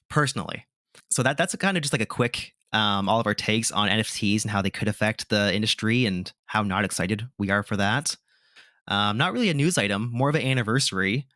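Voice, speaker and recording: A man's voice: lowish voice, bright, forceful tone, no echo, studio quality. A man hosting a gaming podcast, talking casually and giving his opinions with lots of filler words. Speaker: podcast host. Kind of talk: casual chat.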